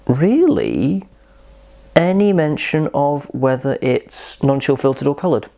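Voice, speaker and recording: A man's voice: fairly high pitch, mellow. A man hosting a casual whisky review vlog, chatty and rambling, often poking fun at himself. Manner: chatty, rambling and self-deprecating.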